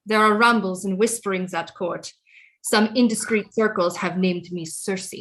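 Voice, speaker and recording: Woman acting as queen mother, regal, formal, proud, brooding, resentful, mid-pitched, dramatic audio play. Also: steady tone, moderate pace, fairly bright tone, slight background noise, lightly acted. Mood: brooding, unsure.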